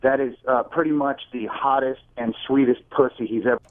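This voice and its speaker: Male caller, mid pitch, polite, halting, narrow phone band. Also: steady tone, no echo. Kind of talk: live phone call to a broadcast.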